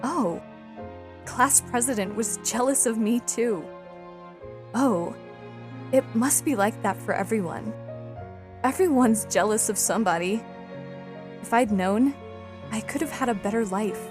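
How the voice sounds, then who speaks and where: A woman's voice: fairly high pitch, unhurried, long pauses, over music, natural voice. A woman playing a gloomy, self-pitying teenage outcast in an anime fan dub, with a brooding, introspective delivery. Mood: wistful, resigned.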